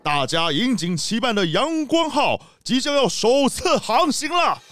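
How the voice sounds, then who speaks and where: A man's voice: very high-pitched, big pitch swings, measured pace, fairly bright tone, faint music behind, heavily acted. A man playing a pompous, jovial city mayor in a children's audio drama, speaking in a grand, theatrical way. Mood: proud, booming.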